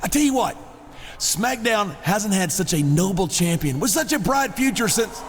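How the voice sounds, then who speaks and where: Oily, swooping high-voiced wrestler. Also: male voice, normal speed. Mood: flattering, jovial. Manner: effusive, flattering. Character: oily, falsely friendly boastful male wrestler. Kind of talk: video game cutscene dialogue. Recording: noisy game cutscene audio.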